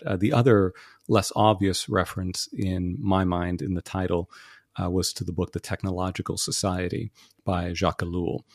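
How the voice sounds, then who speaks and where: A man being interviewed as a podcast guest, explaining ideas in a measured way with frequent "uh" and "um" fillers. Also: low voice, dry recording, clean studio sound. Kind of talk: thoughtful interview answers.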